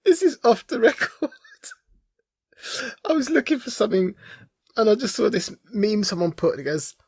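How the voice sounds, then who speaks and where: Casual podcast co-host: British man in middle age, husky, jokey delivery, close dry recording. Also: high voice, very animated, unhurried, a little mellow, no room sound. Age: middle-aged. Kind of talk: casual chat.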